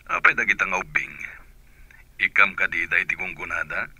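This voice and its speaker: A man voices a supportive male friend in a radio play, very deep-voiced yet strikingly bright and forceful in tone. He answers calmly and agrees to help. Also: normal speed, slightly rough, full character voice. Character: helpful male friend named Arman. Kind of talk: radio drama acting.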